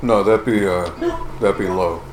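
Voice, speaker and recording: A man with a monotone voice chatting casually as a live stream co-host, reading out chat and commenting on a game. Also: lowish voice, unhurried. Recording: roomy, noisy live-stream room.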